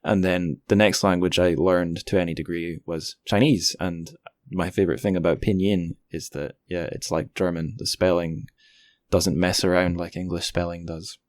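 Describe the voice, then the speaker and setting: A man with a notably low voice hosts a podcast interview. He talks in an informal, conversational way, like casual chat. The recording is dry, with hardly any room sound. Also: steady tone, normal speed, a little mellow, no echo. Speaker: podcast host.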